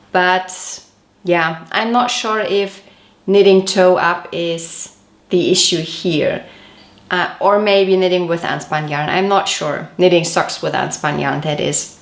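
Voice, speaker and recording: A woman hosting a knitting podcast, chatty and detailed, her voice a little off as she recovers from a cold. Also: lowish voice. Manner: chatty, detailed, gently self-correcting. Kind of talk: casual show-and-tell podcast. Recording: echoey room.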